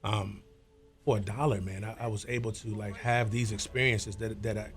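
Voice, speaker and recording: An American man with a somewhat nasal voice, a guest on a talk show, relaxed and slangy, often calling people "man". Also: fairly low pitch, moderate pace. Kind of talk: casual talk show chat.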